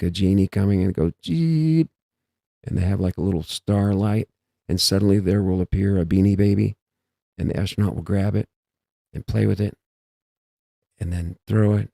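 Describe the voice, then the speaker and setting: A man with a very low, gravelly voice talks in a loose, meandering way, breaking off his own sentences as he thinks aloud. His tone is dark and mellow, and the sound is close and dry. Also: no room sound. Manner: meandering, self-interrupting, musing aloud. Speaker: host. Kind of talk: rambling casual monologue.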